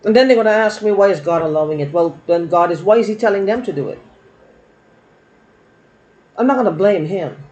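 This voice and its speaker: A woman's voice: mid-range voice, fairly quick, long pauses, a little mellow. A woman talks straight to her camera in a long, aggrieved monologue that wanders and repeats itself. The sound is muffled and lo-fi. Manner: rambling, aggrieved, repeats herself. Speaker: vlogger. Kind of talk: rambling monologue vlog. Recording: dull lo-fi recording.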